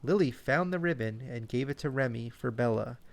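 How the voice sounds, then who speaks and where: Gravelly man reading a lively bedtime story. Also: medium pitch, moderate pace. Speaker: storyteller and host. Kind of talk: scripted bedtime story narration for children.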